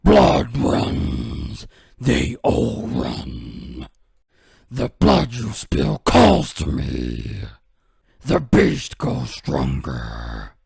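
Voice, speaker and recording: A man voicing a sinister monstrous villain from a video game, slow and menacing, with a growling rasp. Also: extremely low pitch, wildly swooping pitch, darkish tone, no echo, studio quality, voice pushed down, full character voice. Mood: bloodthirsty. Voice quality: very raspy, growling. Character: dark monstrous villain, sinister, savouring misery. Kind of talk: video game character impressions.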